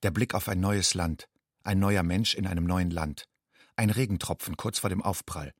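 Haunted refugee, low and husky. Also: male voice, fairly even intonation, no room sound, studio quality. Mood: grave, intense. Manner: measured, stark, telegraphic. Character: haunted, watchful refugee man retelling his escape. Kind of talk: radio drama monologue in second person, fragmentary sentences.

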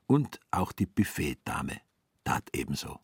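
A man's voice: rumbling low, big pitch swings, a little slow, background noise. A man narrating a literary story for a radio play. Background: music.

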